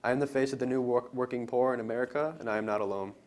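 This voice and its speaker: A young man giving an earnest, deliberate scripted reading as a student presenter at a live event. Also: mid-range voice, steady tone, a little mellow. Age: young adult. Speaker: student presenter in readers theater. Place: college library event, live on stage.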